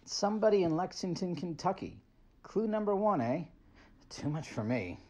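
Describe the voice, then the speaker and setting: A woman's voice: on the low side, big pitch swings, normal speed, warm tone. A woman acting in old-time radio style as a weary, plainspoken police inspector who is also a parent. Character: weary police inspector, a father, gruffly sympathetic.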